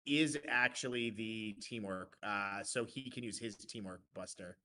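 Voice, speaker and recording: A man's voice: lowish voice, measured pace, pushed and bright. A man giving live play-by-play commentary on a card game tournament, analytical and often restarting his phrases.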